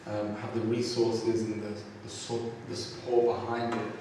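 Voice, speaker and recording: Slightly low young man, echoey hall. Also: monotone, normal speed. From North London. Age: 20s. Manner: hesitant, restarts phrases. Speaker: photography trainee. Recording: echoey hall, distant mic, noisy.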